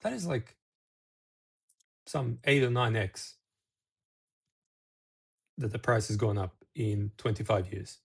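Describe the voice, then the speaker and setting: Gravelly low-voiced man from Serbia. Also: expressive, takes it slowly, long pauses. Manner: rambling, with restarts and asides. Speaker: video host. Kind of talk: casual talk to camera.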